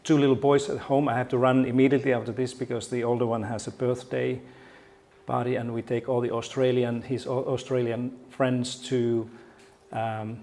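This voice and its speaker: A man's voice: mid-range voice, flat delivery, moderate pace, warm tone. A middle-aged man from Finland with a Finnish accent, giving a conference keynote in a friendly, joking way with frequent restarts. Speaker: keynote speaker. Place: conference hall.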